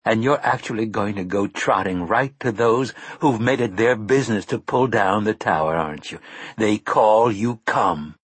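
A man's voice: on the low side, normal speed, husky, no echo. A man voicing a tough, determined woman who questions bluntly and presses hard. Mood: pressing.

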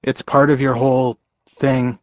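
A guarded young man played by a male narrator talks in a medium pitch, curt and sardonic. He sounds hurt and stubborn, in an audiobook story recorded dry. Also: little pitch movement, unhurried, no room sound, dull audio, close to an everyday voice. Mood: grumpy, defensive.

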